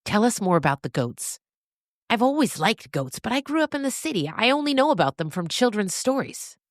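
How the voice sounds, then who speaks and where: A woman's voice: medium pitch, very animated, brisk, no room sound, clean studio sound, voice pushed up, lightly acted. A woman voicing an eager, curious young man in an audio story.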